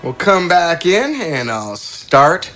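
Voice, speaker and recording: A man's voice: fairly high pitch, very animated, slow, bright, forceful tone, faint music behind. A man acting as game host, casually introducing and reading out character descriptions, often chuckling as he goes. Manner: chuckles through his announcements.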